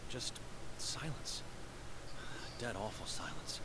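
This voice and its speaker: A man's voice: lowish voice, very animated, slow, heavy background noise. An actor playing a suspicious, resentful monk, anxious and pointed as he questions people in a horror game. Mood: grim, probing. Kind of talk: scripted dramatic game dialogue. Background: game ambience.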